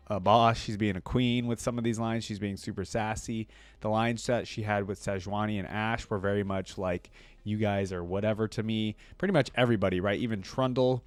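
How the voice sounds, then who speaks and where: A man's voice: on the low side, clean tone, dry recording. A man hosting reaction videos, chatting casually to viewers in a rambling, musing, enthusiastic fan style. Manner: rambling, musing, often hedging with "like" and "you know".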